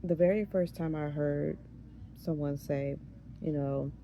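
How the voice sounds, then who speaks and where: A hoarse woman in her thirties talks casually to camera, her voice gravelly and dark with a raspy edge. She wanders through her thoughts, trails off and restarts. A faint hiss sits under the recording. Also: deep voice, steady tone, a little slow. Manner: rambling, loses her train of thought. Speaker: vlogger. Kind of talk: casual chat.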